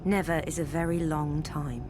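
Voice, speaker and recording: An actress playing a stern, bitter ancient sister in a video game, with a formal, scornful way of talking. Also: female voice, deep voice, steady tone, normal speed, background noise, natural voice.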